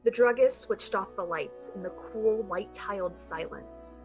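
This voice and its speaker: A woman with a breathy voice delivering scripted, dramatic narration for a suspense radio play. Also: medium pitch, unhurried, faint music behind. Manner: dramatic, literary storytelling.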